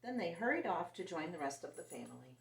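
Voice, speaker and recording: A woman reads a picture book to little listeners in a lively, expressive voice that rises and falls a lot. The sound is roomy and she sits some distance from the mic. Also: on the low side, quick pace, gravelly, a little hiss or hum. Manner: animated and expressive, voicing characters. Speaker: children's story reader. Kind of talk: reading a picture book aloud to children.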